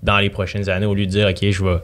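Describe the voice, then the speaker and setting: A young man with a Québécois accent, a podcast guest explaining his ideas with halting speech. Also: lowish voice, completely flat delivery. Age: young adult. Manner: halting, with many fillers. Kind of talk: casual chat.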